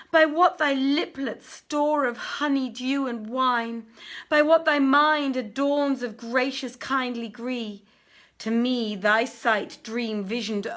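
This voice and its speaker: A woman's voice: sits high, a bit bright, pitched above the performer's own voice. A woman voicing a sorrowful, humble young merchant in a plaintive, declamatory reading of an archaic tale. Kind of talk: dramatic storybook reading of archaic verse and dialogue.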